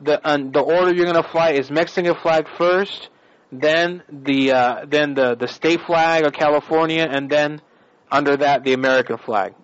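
A man's voice: on the high side, steady tone, normal speed. A man making a prank phone call on a radio show, badgering and bossy in his accusations.

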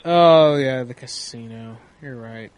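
A man's voice: mid-range voice, slow. A man chatting casually and crudely with a group as host of a prank call show.

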